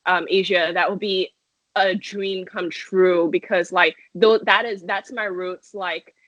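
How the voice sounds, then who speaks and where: A woman's voice: lowish voice, steady tone, takes it slowly, a bit bright, no room sound. Chatty woman from Malaysia, dull sound. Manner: chatty, many fillers and restarts, laughs often. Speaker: metal band singer. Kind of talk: casual interview answers.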